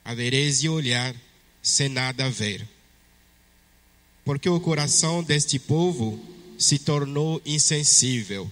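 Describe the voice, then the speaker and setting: A man from Togo with a French-speaking West African accent, a priest reading the Gospel and preaching at Mass in a measured way. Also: mid-range voice, fairly bright tone. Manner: slow, measured liturgical delivery. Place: church. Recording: long church reverb.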